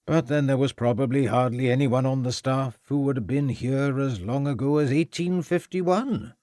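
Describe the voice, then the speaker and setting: A man voicing a loud, guarded male headmaster with a Welsh accent. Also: mid-range voice, normal speed, no echo, clean studio sound, pitched above the performer's own voice, natural voice.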